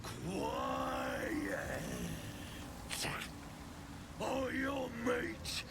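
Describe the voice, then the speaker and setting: An actor voicing a menacing, hungry, irritable monster in a fantasy game, growling out drawn-out threats. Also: male voice, huge pitch swings, creaky, full character voice. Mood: threatening. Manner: growling threats, lurching in volume and pitch. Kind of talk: fantasy game creature acting. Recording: very noisy, ambient game sound.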